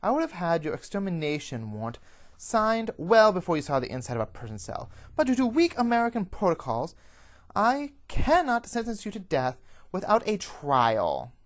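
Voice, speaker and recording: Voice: medium pitch, sing-song, moderate pace, darkish tone, voice pushed up. A performer voicing a severe, formal female official of a monster-hunting order who gives commands. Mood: forceful, angry.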